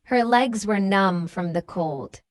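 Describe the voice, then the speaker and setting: A woman's voice: medium pitch, slow, no echo, clean studio sound. A woman narrating a scripted children's story as a storybook reader.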